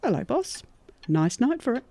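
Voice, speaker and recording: Folksy, earnest Western gal , female actor for comic horror audio; higher-pitched, warm mellow tone, chipper. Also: big pitch swings, moderate pace. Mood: upbeat, curious. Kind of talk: audio horror-comedy acting.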